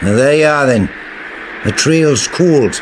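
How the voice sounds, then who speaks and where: An actor playing a blunt, grumpy older uncle. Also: male voice, medium pitch, lively intonation, slow pace, background noise. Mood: gruff. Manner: gruff, blunt, swears.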